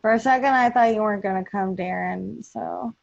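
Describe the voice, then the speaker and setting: A woman's voice: medium pitch, a little fast, creaky, no echo. A woman chatting casually as a podcast host organizing a group. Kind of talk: casual chat. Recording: dull, dry, lo-fi audio.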